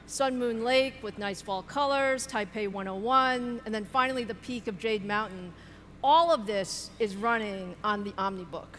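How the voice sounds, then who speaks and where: A woman's voice: on the high side, a bit bright. A woman giving a polished, upbeat keynote presentation, introducing products and partners on stage. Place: large keynote hall. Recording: large hall reverb with faint noise.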